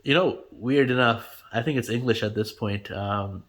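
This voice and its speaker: A man's voice: mid-range voice, normal speed, a bit bright. A man in his twenties, Gujarati native speaker from India, chatting casually in an interview with lots of fillers and easy laughter. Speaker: channel host being interviewed.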